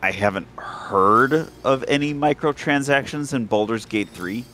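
A man's voice: mid-range voice, a little slow, bright, forceful tone, a little hiss or hum. A man playing co-op games and chatting casually as he plays. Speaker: co-op gamer. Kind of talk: casual chat while gaming. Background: faint game music.

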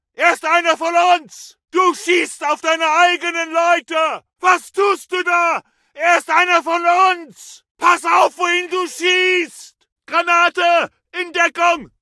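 A shouting, aggressive German soldier. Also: male voice, very high-pitched, no room sound, studio quality, full character voice. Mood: alarmed. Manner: urgent, barking, clipped exclamations. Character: aggressive, battle-hardened German WWII infantry soldier. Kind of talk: shouted video game combat barks.

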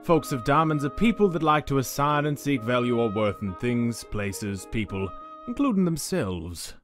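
A man's voice: medium pitch, expressive, moderate pace, a bit bright, faint music behind, close to an everyday voice. A man voicing a suave, condescending dice-headed cartoon casino manager who explains with a teasing drawl. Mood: sly, teasing. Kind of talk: animated comic dub acting.

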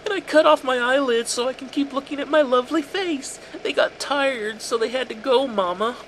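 A man voicing a deranged young male killer who cut himself a permanent smile, talking in a shrill, singsong, unhinged way. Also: normal speed, a bit bright, a little hiss or hum, low-quality recording. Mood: eerie delight.

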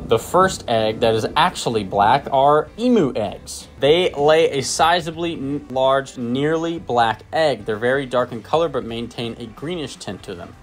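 A man's voice: mid-range voice, measured pace, few pauses. A man giving a scripted, lightly playful voice-over narration.

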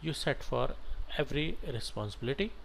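A man teaching software as an instructor, talking through an on-screen tutorial step by step in halting, instructional phrases. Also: medium pitch, expressive, vocal fry. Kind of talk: screen-share tutorial narration, unscripted step-by-step. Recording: noticeable background noise.

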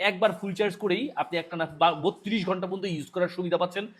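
Male product presenter, Bangladeshi accent, high voice, extremely rapid delivery, promotional sales pitch. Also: steady tone, fairly bright tone. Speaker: online shop product presenter.

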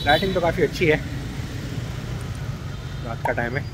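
A man's voice: fairly high pitch, animated, moderate pace. A man with a Pakistani Urdu accent, chatting in a rambling, casual way as he walks and films a travel vlog. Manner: chatty, rambling, with many fillers. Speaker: travel vlogger. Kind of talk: casual walking vlog commentary. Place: busy city street at night, outdoors. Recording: loud street traffic noise. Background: heavy motorbike and traffic noise.

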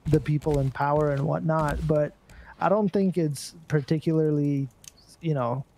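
A man's voice: on the high side, fairly even intonation, normal speed, warm tone, a little hiss or hum. A man from Singapore chatting casually and swearing freely while playing a game. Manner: conversational, sweary. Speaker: co-player in a gaming stream. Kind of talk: casual chat over a game.

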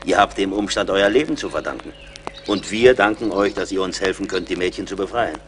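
A man acts a seasoned woodsman of the frontier in an adventure radio drama. The voice is deep, the manner brave, commanding and drily humorous. Faint outdoor noise sits behind him. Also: a little fast, close to an everyday voice. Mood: measured. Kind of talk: German radio play acting.

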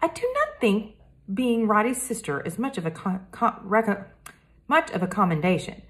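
A woman voicing a snobbish, haughty young girl who talks loudly, slowly and disdainfully. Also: medium pitch, sing-song, pitched above the performer's own voice. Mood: sneering. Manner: loud, slow and disdainful.

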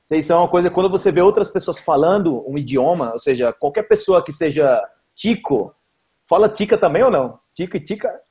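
A man's voice: on the high side, expressive, brisk, mellow. A man in his thirties from Brazil, hosting a casual interview over a video call, chatty and upbeat with lots of filler words. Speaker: host. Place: small indoor room. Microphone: earphone headset mic.

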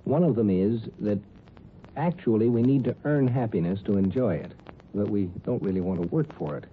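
A man's voice: fairly low pitch, expressive, normal speed. A man hosting a 1950s radio show, explaining in a conversational commentary style. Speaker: host. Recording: tinny 1950s radio, faint noise.

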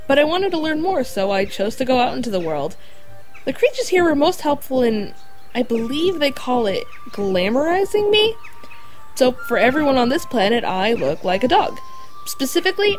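Woman doing proud alien dog girl in an audio drama, high lively voice, kinda formal, music behind. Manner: formal, explanatory phrasing. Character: female alien creature posing as a dog, earnest and proud.

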